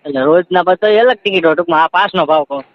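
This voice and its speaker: A man with a Saurashtra Gujarati accent explains things in a loose, repetitive, conversational way. He talks rapidly in a high register. The phone recording is muffled and lo-fi. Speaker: seasonal event catering worker. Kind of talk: casual phone chat. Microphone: phone call. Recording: dull lo-fi phone call recording.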